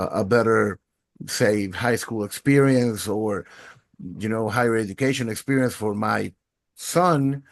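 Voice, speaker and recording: A man's voice: lowish voice, vocal fry, no echo. A man in his forties from Venezuela, speaking English, telling his story as a podcast guest in a thoughtful, fluent way. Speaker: podcast guest, education technologist. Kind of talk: interview answers.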